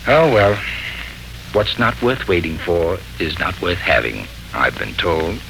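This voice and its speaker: A man's voice: low-pitched, sing-song, slow, gravelly, fairly bright tone, exaggerated voice. A man acting an impatient, lovelorn suitor in a 1940s radio drama, hesitant, folksy and wryly self-mocking. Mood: wry resignation. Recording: noisy 1940s radio broadcast recording.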